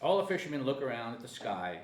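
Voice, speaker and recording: Male screenplay narrator, lively, slightly high voice, informal table read with room echo. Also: fast. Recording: echoey room, faint noise.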